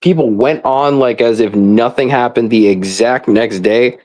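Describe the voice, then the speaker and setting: A man's voice: medium pitch, unhurried, darkish tone. Exasperated man on a casual gaming rant. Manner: exasperated, emphatic, profane. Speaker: gamer ranting about a mobile game.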